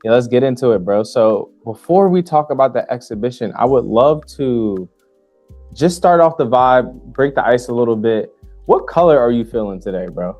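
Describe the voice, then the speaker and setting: A man's voice: mid-range voice, lively intonation, normal speed, darkish tone, soft background music. Easygoing young male host. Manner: friendly, slangy, calls guest bro. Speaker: interview host. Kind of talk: casual interview chat.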